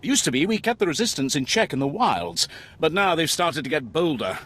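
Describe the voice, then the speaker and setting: A raspy, wildly animated brash lieutenant. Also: male voice, fairly high pitch, brisk. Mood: gung-ho, bloodthirsty. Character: brash, gung-ho male Imperial lieutenant, explosion-loving. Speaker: military officer character. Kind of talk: video game voice acting.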